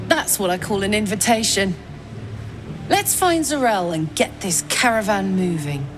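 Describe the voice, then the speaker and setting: A woman voices a brash warrior in a fantasy game. She is blunt and hearty with very lively pitch, over faint music. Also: unhurried, natural voice. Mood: eager. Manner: blunt, swaggering.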